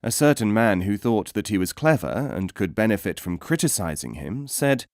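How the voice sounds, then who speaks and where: A man reading teaching stories as an audiobook narrator, in a measured, formal storytelling manner. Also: fairly low pitch, animated, dry recording, studio quality.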